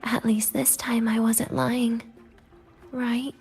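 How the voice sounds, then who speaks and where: A soft breathy game girl, young adult, kinda teasing and wistful, talks slow, bit of music under it. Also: a little high, little pitch movement, exaggerated voice. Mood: bittersweet, teasing. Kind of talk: video game character acting.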